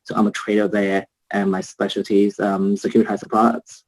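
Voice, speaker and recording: A man's voice: fairly low pitch, level tone, brisk, slight background noise, low-quality recording. A man from China speaking casually as a panelist on a video call, with many fillers. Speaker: panelist, trader.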